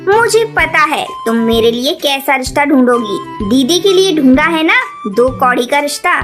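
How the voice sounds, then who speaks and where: A woman's voice: sits high, big pitch swings, moderate pace. A woman voicing a boastful, greedy young female bird in a cartoon, disdainful in manner. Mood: defiant. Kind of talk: Hindi cartoon voice acting. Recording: music behind the voice.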